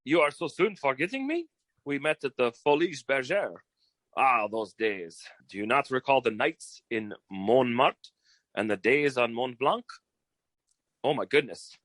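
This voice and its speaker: A man's voice: mid-range voice, lively intonation, unhurried, a bit bright, no echo. A man playing a flamboyant, bumbling agent who poses as an effusive French war hero, with a put-on French accent and a florid drawl, in old-time radio comedy style. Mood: wounded, nostalgic. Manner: pompous, florid, theatrical drawl.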